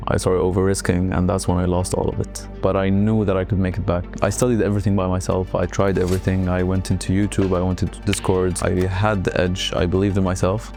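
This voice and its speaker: A young man from Algeria, speaking English as a second language, tells his life story in a relaxed, reflective way and often hedges with "let's say". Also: sits low. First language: not English. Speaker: interviewee.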